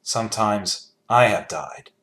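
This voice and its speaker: An actor playing a solemn, cryptic man in a video game, speaking in a measured, formal manner. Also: fairly low pitch, fairly bright tone. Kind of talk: video game character dialogue.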